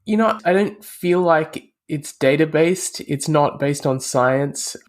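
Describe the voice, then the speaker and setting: A middle-aged man from Australia, a guest in an interview, explaining his views in a calm, reasoned way. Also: on the high side, slow. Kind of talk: casual interview conversation.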